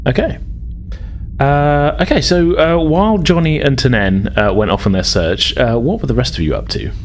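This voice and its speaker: A man's voice: medium pitch, animated, moderate pace, slight background noise. A man working as game master, improvising tabletop game narration in a conversational, hedging way.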